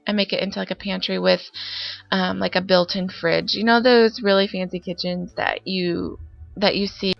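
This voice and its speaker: A woman's voice: medium pitch, steady tone, moderate pace, gravelly, dry recording. A young woman, congested from a summer cold, chatting casually through a voice-over of her game build with lots of fillers. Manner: chatty, rambling with many fillers. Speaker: Sims building YouTuber. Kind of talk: casual voice-over narration of gameplay. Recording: dull lo-fi voice-over with faint background music.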